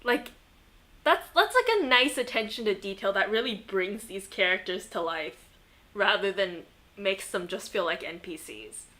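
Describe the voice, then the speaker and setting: A woman chatting casually and enthusiastically as she plays a video game, excitable and giggly in manner. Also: mid-range voice, measured pace. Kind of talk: casual gameplay commentary, chatting.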